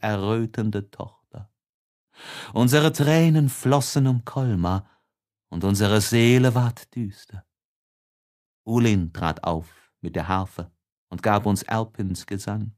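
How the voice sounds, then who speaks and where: A man's voice: mid-range voice, a little mellow. A man narrating classic literature as an audiobook in a solemn, elegiac and declamatory way.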